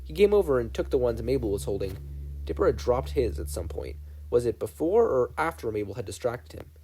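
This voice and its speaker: Mellow man narrating a story. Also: mid-range voice, sing-song, a little fast, a little hiss or hum, low-quality recording. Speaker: podfic reader. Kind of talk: scripted fan fiction narration.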